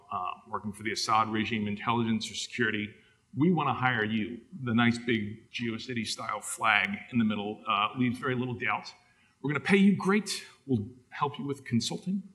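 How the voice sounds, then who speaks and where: A man's voice: mid-range voice, moderate pace, sharp tone. A man in his thirties giving a conference talk from a podium on a large stage, casual and full of fillers, often asking for the next slide. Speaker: conference speaker. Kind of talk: conference presentation.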